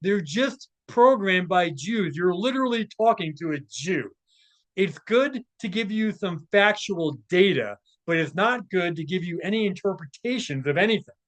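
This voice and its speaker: A man's voice: sits high, animated, moderate pace, rough recording. A man giving casual, scornful spoken commentary as he reads through a text, with sarcastic asides. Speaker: commentator, presenter.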